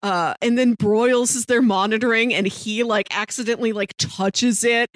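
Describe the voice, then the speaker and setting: A woman's voice: fairly high pitch, fairly even intonation, normal speed, no room sound. A woman co-hosting a podcast in casual chat, talking with enthusiasm and strong opinions about what she watches. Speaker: podcast co-host discussing a TV series.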